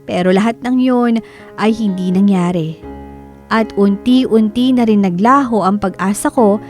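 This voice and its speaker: A woman's voice: medium pitch, unhurried, faint music behind, natural voice. An actress with a Filipino accent playing a humble, self-doubting working woman in a radio drama, speaking hesitantly. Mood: weary, wistful. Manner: hesitant, humble, soft hums before answering. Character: self-doubting working woman who quit college to support siblings. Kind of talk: Filipino radio drama acting with reflective narration.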